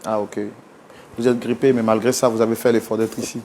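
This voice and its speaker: A man's voice: lowish voice, level tone, a little fast, darkish tone, a little hiss or hum. A man hosting a reconciliation talk show, probing his guests and pressing them for direct answers.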